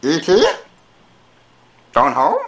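Slow, swooping little alien creature. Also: female voice, low-pitched, huge pitch swings, a crowd in the background, heavily acted. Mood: plaintive, insistent. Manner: slow, drawn-out, questioning delivery. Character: small alien creature, insistent, plaintive, foul-mouthed when thwarted. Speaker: prank caller. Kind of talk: comic phone prank, repeating a catchphrase. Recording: noisy lo-fi telephone prank recording.

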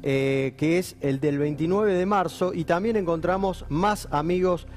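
Reporter dude, brisk and factual, bit high voice, faint music behind. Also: male voice, a little mellow. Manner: brisk, factual, listing names. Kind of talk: investigative report narration and studio report.

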